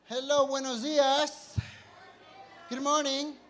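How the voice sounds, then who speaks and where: A man's voice: very high-pitched, little pitch movement, slow pace, a bit bright. A man from Mexico with a Mexican accent and Spanish as his first language, preaching an informal, chatty sermon with jokes. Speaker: pastor.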